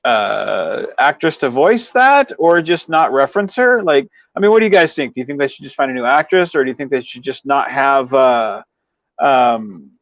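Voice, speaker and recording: A man's voice: mid-range voice, animated, moderate pace, no room sound, lo-fi sound. A man hosting a livestream in casual chat with viewers, rambling and leaning on verbal tics as he talks. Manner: rambling, frequent "you know" verbal tic. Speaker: livestream host.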